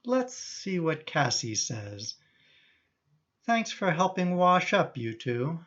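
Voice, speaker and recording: A middle-aged father, acted by a man in a radio drama, apologetic and well-meaning. He talks at an unhurried pace with lively swings in his intonation, on a dull home recording. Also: a little high, exaggerated voice. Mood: easygoing. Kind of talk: radio play acting.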